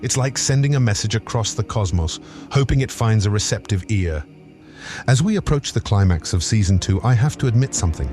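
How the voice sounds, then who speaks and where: A man's voice: fairly low pitch, animated, brisk, darkish tone, soft background music. A man hosting his own podcast, reading a scripted promo with earnest, grandiose cosmic phrasing. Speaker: podcast creator and host.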